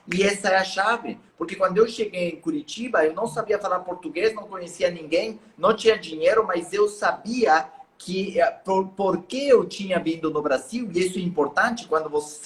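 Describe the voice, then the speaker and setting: High-voiced man telling a motivational story. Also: a bit bright. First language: Spanish. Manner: earnest, motivational, with restarts. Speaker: author and guest. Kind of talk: storytelling in a live interview.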